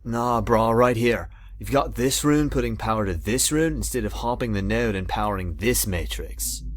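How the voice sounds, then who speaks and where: A man's voice: on the low side, normal speed, a little hiss or hum, lightly acted. A man playing a laid-back, know-it-all slacker dude with bro slang in a visual novel game. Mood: mellow, nitpicking. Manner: drawling surfer-bro slang.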